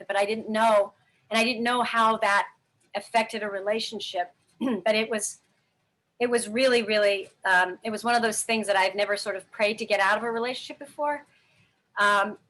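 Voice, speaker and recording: A woman's voice: mid-range voice, fairly bright tone, poor audio. A middle-aged woman telling her life story candidly in an interview, rambling with many fillers and restarts. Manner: frank, earnest. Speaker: interview guest.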